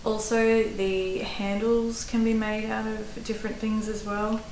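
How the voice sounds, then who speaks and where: A woman's voice: mid-range voice, flat delivery, unhurried, darkish tone. An Australian woman in her thirties giving casual, practical hiking-gear advice to camera, chatty with fillers, recorded in a small room. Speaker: hiking vlogger. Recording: roomy echo, faint background noise.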